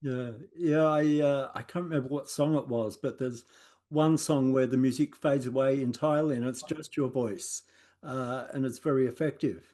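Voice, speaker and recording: A man's voice: medium pitch, fairly even intonation, normal speed, mellow. An Australian man hosting an interview, asking questions in an easygoing way with many small hesitations. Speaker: interviewer, host.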